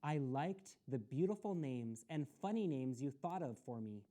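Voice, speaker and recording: A woman's voice: very deep voice, lively intonation, normal speed, warm tone. A woman voicing a shy, polite and thoughtful young schoolgirl in a picture book. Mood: earnest.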